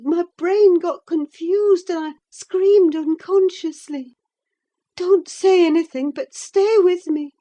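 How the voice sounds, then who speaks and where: A woman reading an audiobook, voicing a delirious, frightened young woman in a high, breathless, anxious voice. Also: dark tone. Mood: pleading. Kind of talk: audiobook character voice.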